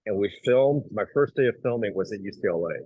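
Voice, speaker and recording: Husky low-voiced man from Hong Kong. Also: fast, no echo, rough recording. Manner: animated storyteller, many fillers and restarts. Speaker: actor and writer, guest. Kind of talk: casual interview.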